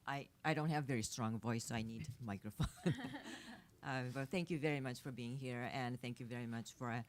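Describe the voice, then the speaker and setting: Japanese woman, 50s, non-native English, low-pitched, hesitant and soft-spoken at a press conference podium. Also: normal speed. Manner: hesitant, many fillers and restarts, soft-spoken with nervous laughs. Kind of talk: unscripted press conference remarks. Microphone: podium microphone.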